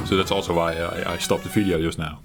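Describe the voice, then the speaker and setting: A middle-aged man hosting his retro gaming channel, talking while he plays in a halting, reflective way, searching for words and laughing at himself. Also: low-pitched, little pitch movement, creaky, over music. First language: Dutch. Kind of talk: reflective gameplay commentary.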